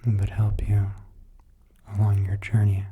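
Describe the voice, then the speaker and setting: A man softly guiding a meditation in a hushed, breathy, mostly whispered ASMR voice, soothing, in short halting phrases. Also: lowish voice, completely flat delivery. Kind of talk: soft-spoken ASMR guided meditation.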